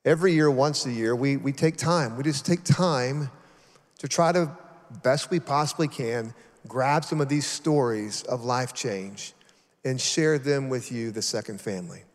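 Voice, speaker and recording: A man's voice: mid-range voice, measured pace. A man speaking as a pastor to his congregation, earnest and emphatic, building up lists of words. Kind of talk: addressing the congregation. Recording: long hall reverb.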